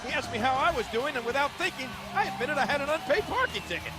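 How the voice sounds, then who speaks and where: A man's voice: extremely high pitch, lively intonation, fast talker, crowd noise. A man playing a glib color commentator on a wrestling game's TV broadcast. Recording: voice over loud background music.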